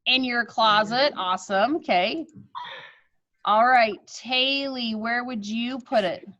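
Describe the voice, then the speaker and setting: A woman with a fairly high voice works as a teacher reading aloud to children. She is expressive and encouraging, and the sound is slightly muffled and lo-fi, like a video class. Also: slow. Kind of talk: storybook read-aloud with chat to pupils. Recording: dull lo-fi video call.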